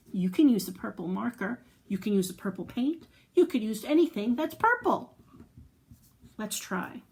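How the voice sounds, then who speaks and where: A woman with a fairly high voice hosts storytime for young children. She takes her time, speaking very slowly in simple phrases. She encourages her listeners and puts questions to them. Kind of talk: read-aloud storytelling and chat to young children.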